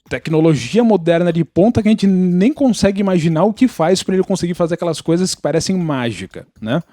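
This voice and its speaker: A man's voice: on the high side, dark tone, dry recording, clean studio sound. A Brazilian man chatting casually on a podcast, explaining things in an opinionated, informal way. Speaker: podcast participant. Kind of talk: casual pop-culture chat.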